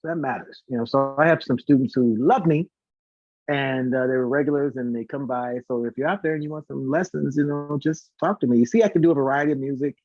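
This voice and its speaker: A man who sings and teaches voice, talking as a guest in a casual live interview, telling stories in an animated way and acting out what people say. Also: medium pitch, fairly quick, dry recording, highs cut off. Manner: talkative, animated storytelling with acted-out quotes and demonstrations.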